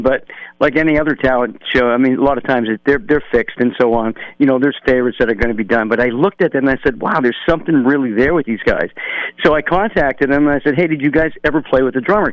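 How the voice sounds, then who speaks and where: A man's voice: medium pitch, dry recording. Rambling man on a dull phone line. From New Hampshire, USA. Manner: rambling, relaxed storytelling with many fillers. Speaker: musician guest, drummer. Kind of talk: phone-in radio interview. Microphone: telephone.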